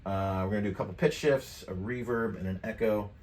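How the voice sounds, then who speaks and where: Low-voiced man, roomy sound. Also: a little fast, darkish tone. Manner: offhand, thinking aloud, mildly profane. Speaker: tutorial presenter. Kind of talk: casual screen-share software tutorial. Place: indoor home studio desk. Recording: roomy, distant mic, background noise.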